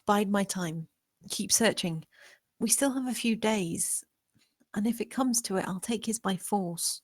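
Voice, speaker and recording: A woman's voice: medium pitch, measured pace, no echo, studio quality, natural voice. A woman playing a bold, scheming young maid in a screenplay table read. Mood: quietly determined.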